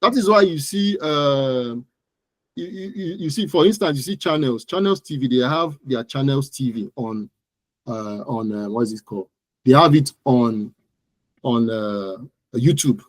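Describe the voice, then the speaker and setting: A man's voice: mid-range voice, unhurried, no echo. A man with a Nigerian accent giving interview answers as an expert guest, explaining things emphatically and often repeating words and phrases. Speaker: guest digital marketing expert.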